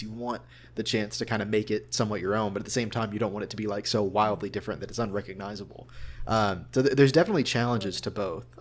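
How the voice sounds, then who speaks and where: A man talking informally as a voice actor guest in a casual interview, thinking aloud as he goes. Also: lowish voice.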